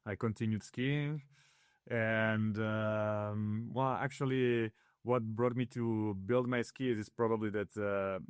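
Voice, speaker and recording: A man's voice: fairly low pitch, steady tone, slow, smooth, no echo, clean studio sound. A man from northern Italy, raised in Switzerland, whose first language is Italian, talking through a casual interview with many fillers and restarts. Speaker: ski builder guest.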